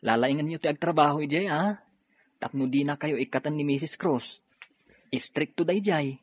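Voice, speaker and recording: A man's voice: on the high side, normal speed, husky, no room sound. A young man with an Ilocano Filipino accent acting a grateful, good-natured eldest son in an audio drama. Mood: earnest. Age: young adult. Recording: dull lo-fi audio with music.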